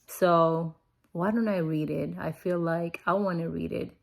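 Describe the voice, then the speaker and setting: A woman's voice: on the low side, fairly even intonation, measured pace, vocal fry. A middle-aged woman with a non-native English accent, talking casually and earnestly to viewers about her faith in a personal vlog. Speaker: host of a Bible-reading vlog.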